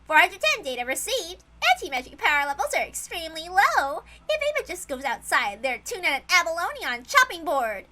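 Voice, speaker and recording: An eager magical servant girl voiced by a woman reader reports like a soldier. Her voice is pushed very high and bright, with clipped phrasing fit for a fantasy visual novel. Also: very animated, fairly quick, hardly any pauses, slight background noise, heavily acted. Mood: businesslike, alert.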